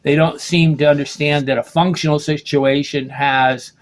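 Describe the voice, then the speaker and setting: A man in his late sixties, from the United States, talks in a loose, rambling monologue. His voice sits a little higher than most men's, and he keeps circling back to reword his thoughts, dropping in "okay?" as he goes. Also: steady tone, moderate pace. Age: 60s. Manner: rambling, restarts sentences, keeps asking "okay?". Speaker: video blogger.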